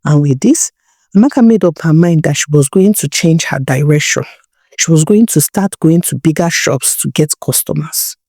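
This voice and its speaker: A Nigerian woman narrates a folktale in a low, mellow voice. She tells it with drama, as a storyteller. The sound is close and dry. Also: normal speed, no room sound.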